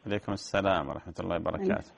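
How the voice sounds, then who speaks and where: A man with a low, gravelly voice takes calls on a call-in show, speaking very quickly. He greets each caller and answers with short, courteous phrases. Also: dry recording. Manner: brief courteous replies. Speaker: religious scholar host.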